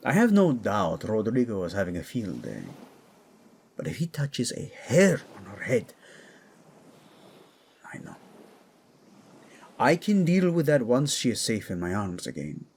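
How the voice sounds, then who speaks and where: A man playing a gravelly, commander husband. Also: mid-range voice, darkish tone, slight background noise, exaggerated voice. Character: devoted, commanding husband leading soldiers to rescue his wife. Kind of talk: audio drama acting.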